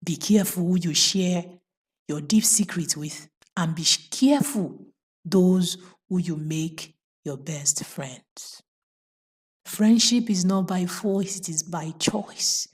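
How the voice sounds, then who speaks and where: A woman's voice: lowish voice, slow, a little mellow, breathy edge. A woman telling a folktale as host, emphatic and moralizing, repeating phrases for dramatic effect. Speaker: storyteller and host.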